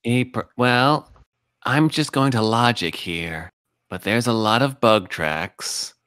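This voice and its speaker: Male player, slow and careful, smooth voice, dry sound. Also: medium pitch, fairly bright tone, no echo. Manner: slow and deliberate, reasoning aloud. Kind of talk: casual tabletop play.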